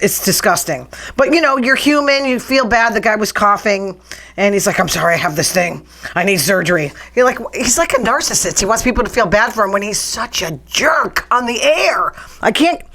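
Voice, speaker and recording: A woman's voice: mid-range voice, fairly bright tone. Chatty, blunt woman. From New England. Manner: chatty, blunt, sarcastic rants. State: strained, nearly lost voice. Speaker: podcast host. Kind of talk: casual talk show chat.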